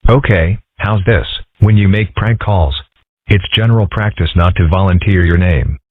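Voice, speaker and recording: A man voicing a calm, dry-witted ten-year-old boy in a cartoon comic dub, with a husky voice and a mild deadpan manner. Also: low-pitched, moderate pace, no echo. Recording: muffled band-limited audio.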